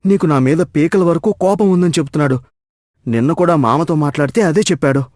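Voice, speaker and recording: A man's voice: a little high, fairly quick, darkish tone, no room sound, studio quality, close to an everyday voice. A man voicing an indignant, devoted adult son in a cartoon story, speaking in emphatic questions. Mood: shocked, indignant. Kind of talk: moral story cartoon voice acting.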